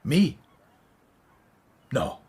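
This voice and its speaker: An actor playing a brooding, wry Scottish police detective in a radio drama, with a husky voice. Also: male voice, extremely slow, warm tone, dry recording. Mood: dry, guarded. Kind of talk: radio drama acting with reflective narration.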